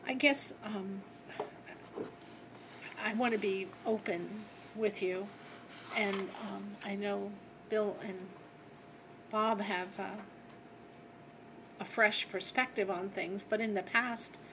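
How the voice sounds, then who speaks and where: Woman, local official, diplomatic meeting remarks, medium pitch, slight background noise. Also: steady tone, slow pace, pauses often. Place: indoor public meeting room.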